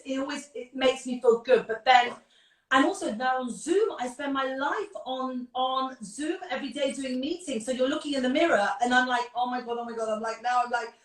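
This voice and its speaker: A woman's voice: high voice, reverberant, recorded from a distance, poor audio. An English woman, a makeup artist, chatting effusively over a video call. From England. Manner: gushing, effusive, quick to agree. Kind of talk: casual chat.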